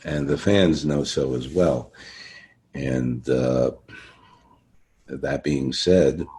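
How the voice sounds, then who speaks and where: A man hosting a podcast interview, speaking informally and haltingly to his guest. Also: extremely low pitch, mellow, husky. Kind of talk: informal interview with hesitant, repetitive sign-offs.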